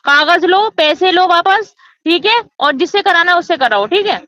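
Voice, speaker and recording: A woman's voice: very high voice, animated, moderate pace, sharp tone, no room sound. A woman from arguing insistently on a phone call, scolding and talking over the other person. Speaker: agent handling housing forms. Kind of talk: heated phone argument.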